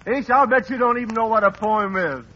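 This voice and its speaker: A man hosting a 1940s radio variety show, playing the brisk straight man to a comic. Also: high voice, pushed and bright, a little hiss or hum. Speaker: show host. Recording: muffled old radio broadcast.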